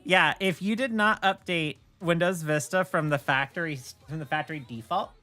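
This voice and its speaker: A woman's voice: fairly low pitch, normal speed, soft background music. A woman chatting casually on a livestream, telling stories in a blunt, opinionated way. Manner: opinionated, blunt, animated storytelling with swearing. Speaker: streamer, host.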